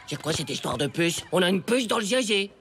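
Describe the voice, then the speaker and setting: A voice actor playing an excitable, naive ten-year-old schoolboy in a cartoon, whiny, slangy and easily panicked. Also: medium pitch, fairly quick. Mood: curious, puzzled. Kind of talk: animated comedy dialogue in French. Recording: music behind dialogue. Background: cartoon background music.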